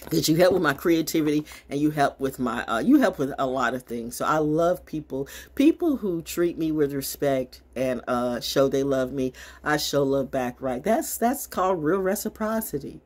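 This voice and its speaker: An older woman vlogger ranting casually to camera in a brash, theatrical, confrontational way, with a husky voice, recorded on a phone in a small room. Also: low voice, expressive, normal speed, few pauses, darkish tone. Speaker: online vlogger. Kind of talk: casual rant to camera with card reading. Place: small wood-panelled room indoors. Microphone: phone at arm's length.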